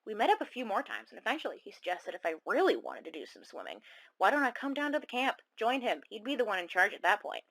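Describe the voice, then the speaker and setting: A woman's voice: fairly high pitch, very animated, quick pace, a bit bright, heavily acted, rough recording. A woman playing a self-conscious, rueful caller who tells her eerie story in a confiding, slightly rambling way. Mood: reflective, uneasy. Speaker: caller on a horror radio show. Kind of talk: conversational dramatic monologue.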